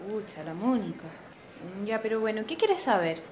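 A woman's voice: medium pitch, sing-song, normal speed, darkish tone, a little hiss or hum, natural voice. A woman with a Chilean accent playing a young, frank activist in a radio drama. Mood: casual, guarded. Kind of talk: natural radio drama dialogue.

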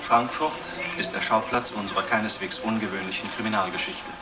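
A man's voice: fairly low pitch, fairly even intonation, a little fast, natural voice. A man playing a matter-of-fact crime documentary narrator in a radio drama, with clipped, sober scripted delivery. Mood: sober, factual. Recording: distant voice under loud music.

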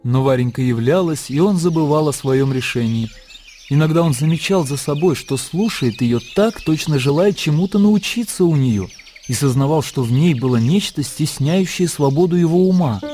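Measured male narrator over music. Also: on the high side, lively intonation, mellow. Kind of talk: literary narration for a radio play. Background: music and birdsong.

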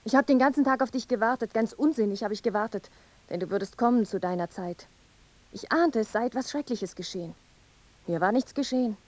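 A woman's voice: on the high side, fairly quick, a little mellow, close to an everyday voice. A woman playing a mournful, visionary young wife in a poetic radio play, with solemn, incantatory delivery. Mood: anguished.

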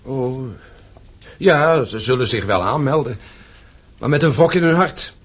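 An actor playing an earnest young gentleman, respectful but dissenting, in a radio play. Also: mid-range voice, expressive, a little slow, breathy edge. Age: young adult.

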